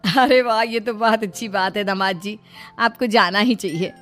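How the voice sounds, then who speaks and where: A woman's voice: medium pitch, normal speed, a bit bright, close to an everyday voice. A middle-aged cartoon mother-in-law with a scheming streak, voiced by a woman. She coaxes and sweet-talks in an ordinary mid-range voice, with faint music underneath. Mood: pleased. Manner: sweet-talking, coaxing. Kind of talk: cartoon story voice acting.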